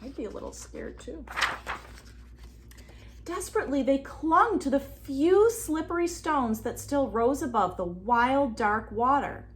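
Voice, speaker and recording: A woman reading a storybook aloud expressively to children, pausing for asides and questions to her young listeners. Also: high voice, slight background noise. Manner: animated, addresses children with questions. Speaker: children's read-aloud reader.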